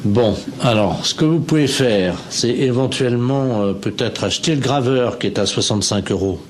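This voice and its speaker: A man playing an earnest appliance salesman on a prank phone call, matter-of-fact and deadpan. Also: mid-range voice, normal speed, natural voice. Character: earnest male appliance shop phone salesman spouting absurdities. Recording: noisy telephone line.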